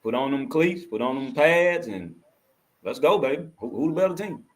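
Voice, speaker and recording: A man, a guest on a casual sports chat, starting hesitantly and building up to excited hype. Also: medium pitch, moderate pace. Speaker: guest panelist.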